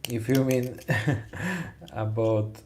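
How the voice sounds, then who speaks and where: A man from Poland with a Polish accent mixed with Italian, chatting softly in halting English on a live stream while he carves wood and answers chat questions. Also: mid-range voice, slight background noise. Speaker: woodcarving livestreamer.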